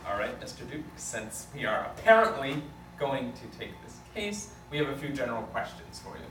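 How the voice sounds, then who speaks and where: A man's voice: medium pitch, very animated, fairly quick, lightly acted. Man playing a skeptical lawyer, echoey and noisy. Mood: probing. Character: cautious, skeptical small-time male lawyer. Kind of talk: amateur script table read. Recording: echoey room, distant mic, noisy.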